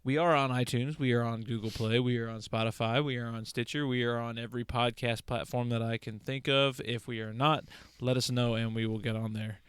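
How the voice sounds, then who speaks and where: A man with a clean, smooth voice recorded close and dry. He talks as a podcast host in casual banter, teasing his friends in a joking way. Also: medium pitch, fairly even intonation, a little fast, hardly any pauses, no echo.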